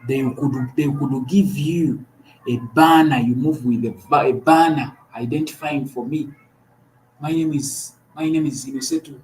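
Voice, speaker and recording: A man's voice: mid-range voice, a little slow, darkish tone, a little hiss or hum, rough recording. A man from Uganda co-hosting a talk show, giving earnest political commentary and often repeating words as he restarts.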